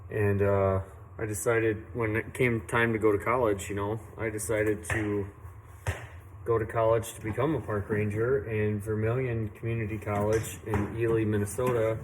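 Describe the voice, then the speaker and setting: Brief: young working man from Illinois, low voice, plain and earnest, recorded outside with faint noise. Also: flat delivery. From western Illinois, USA. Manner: unhurried, earnest, with many fillers and restarts. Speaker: tree service owner. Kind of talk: casual personal story to camera. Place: outdoors at a work site, doing saw maintenance.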